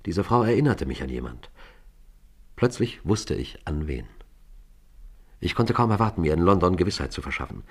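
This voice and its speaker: A man playing a composed detective who narrates and questions in a German radio crime play, measured and pointed. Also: low-pitched, very animated, husky, heavily acted. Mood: measured, grave. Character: composed, probing male detective narrating his case.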